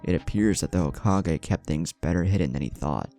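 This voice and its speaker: A man's voice: sits low, a little fast, warm tone, soft background music. A man narrating a scripted story with dialogue. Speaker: fan fiction narrator.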